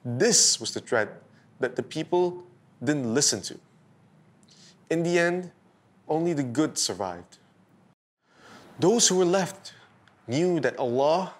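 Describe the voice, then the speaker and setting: A man hosting and narrating a scripted bedtime story for children in a deliberate, expressive storytelling style. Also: medium pitch, slightly rough. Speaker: storyteller host.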